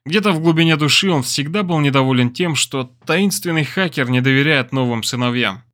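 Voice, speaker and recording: A man's voice: mid-range voice, fairly quick, fairly bright tone. Male narrator of dramatic fiction. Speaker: audiobook narrator.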